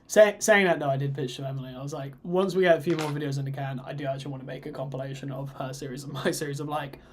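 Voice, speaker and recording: A man co-hosting a casual chat, talking in a relaxed, rambling way. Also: fairly high pitch, very fast, a little hiss or hum. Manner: relaxed, rambling, with restarts and laughs. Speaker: co-host.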